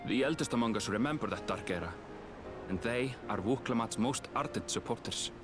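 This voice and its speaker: A man performs a grave townsman in a fantasy video game. He has a medium-pitched voice and a measured, formal delivery. Music plays clearly underneath. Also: close to an everyday voice. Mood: solemn.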